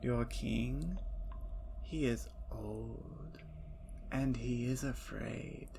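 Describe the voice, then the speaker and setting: A woman plays a captured female vampire in an ASMR roleplay, playful and menacing. She taunts and teases in soft whispers, with a gravelly edge and an unhurried pace. A faint hiss sits under the recording. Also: very deep voice, full character voice. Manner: teasing, taunting.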